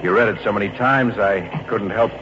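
A man's voice: fairly low pitch, lightly acted. Man playing a folksy, kindly middle-aged traveling salesman. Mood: sympathetic, curious. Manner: folksy, avuncular, unhurried, hesitant. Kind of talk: 1940s radio drama acting. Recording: noisy muffled old radio recording.

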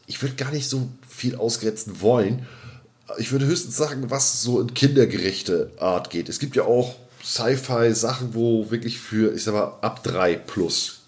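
A man's voice: fairly low pitch, measured pace. A middle-aged man co-hosting a podcast in loose, casual conversation, repeating words as he thinks aloud. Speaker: podcast co-host. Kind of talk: casual unscripted chat.

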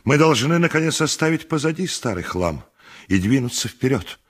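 Secretive conspirator, husky mid-range voice, gentlemanly, zealous, 1980s radio drama. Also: male voice, mid-range voice, big pitch swings, normal speed. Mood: earnest, uneasy. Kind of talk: 1980s radio play acting.

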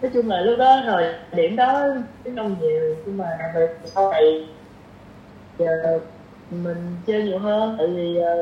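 A woman's voice: lowish voice, a little slow. A woman in her twenties with a southern Vietnamese accent, chatting casually as a podcast guest, hesitant and thinking aloud. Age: 20s. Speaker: podcast guest, young doctor. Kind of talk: casual chat. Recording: roomy echo, dull sound, light noise.

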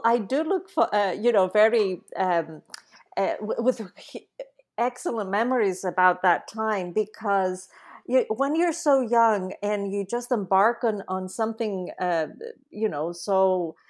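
A middle-aged woman answering interview questions in a relaxed conversational way, pausing often with fillers and restarting her sentences. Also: medium pitch. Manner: hesitant, many fillers and restarts. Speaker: guest, translation company founder.

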